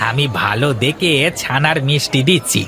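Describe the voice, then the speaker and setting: A man voicing a chatty, obliging village sweet seller in a cartoon, brisk and inquisitive. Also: mid-range voice, lively intonation, over music, natural voice. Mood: friendly, brisk.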